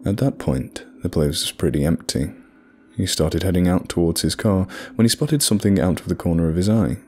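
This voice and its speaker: A man narrating a horror story in a measured, scripted storytelling delivery. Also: deep voice, darkish tone, breathy edge.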